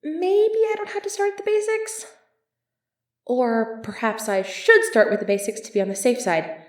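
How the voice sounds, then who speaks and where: A woman's voice: high voice, moderate pace, no echo, studio quality, close to an everyday voice. A woman playing an earnest, easily flustered medieval knight devoted to her prince, speaking politely and formally in an ASMR roleplay. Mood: nervous. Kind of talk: ASMR roleplay acting, formal old-fashioned speech.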